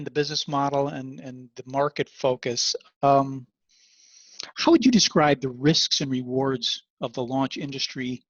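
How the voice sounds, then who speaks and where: A man's voice: medium pitch, no room sound. Dull-sounding male interviewer, very dry. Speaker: interview host. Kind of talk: conversational interview questioning.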